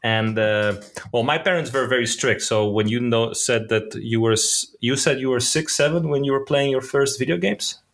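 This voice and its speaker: Man musing at mid pitch. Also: moderate pace, fairly bright tone. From Netherlands. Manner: thoughtful and opinionated, restarts words, says "man". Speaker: podcast guest, concept designer. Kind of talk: casual podcast conversation.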